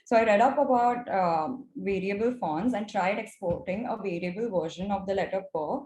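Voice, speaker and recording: A young woman with an Indian accent and Gujarati as her mother tongue presents prepared material. Her voice sits at an average pitch. The recording is roomy and echoey, with some background noise. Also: normal speed, darkish tone. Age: young adult. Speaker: mentorship student presenting her project.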